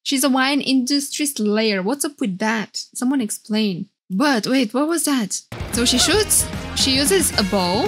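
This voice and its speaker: High-voiced woman streamer, gushing reaction commentary. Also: lively intonation, measured pace. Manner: gushing, exclamatory. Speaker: VTuber streamer. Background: game trailer music.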